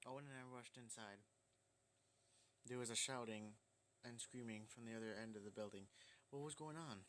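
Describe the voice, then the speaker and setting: A man's voice: medium pitch, moderate pace, slight background noise. A man reading a visual novel aloud as dramatic narration, casual and prone to stumbling over lines. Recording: loud music under the voice. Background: game music.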